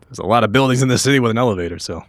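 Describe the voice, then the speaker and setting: A man's voice: medium pitch, racing, dry recording. A man in casual improvised roleplay at a tabletop game, hesitant and repeating his words. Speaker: player in a tabletop game podcast.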